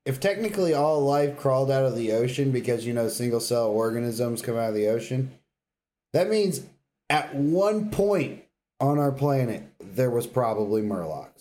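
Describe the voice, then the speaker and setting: A man's voice: medium pitch, big pitch swings, moderate pace, dark tone. A man in casual podcast banter, blunt and slangy, swearing freely. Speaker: podcast co-host. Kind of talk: casual banter about game sounds.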